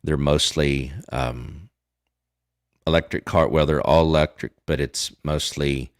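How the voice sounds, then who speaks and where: A man's voice: a bass voice, fairly even intonation, measured pace, dry recording, clean studio sound. A man in his forties with a Southern Texas accent, chatting casually as a livestream host and rambling with lots of fillers and restarts. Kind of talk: casual chat.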